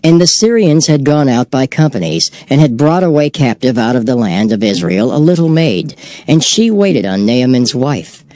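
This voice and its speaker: A man narrating scripture in a measured, solemn dramatized reading. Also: mid-range voice, sing-song, warm tone, no room sound. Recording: music bed behind voice. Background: music.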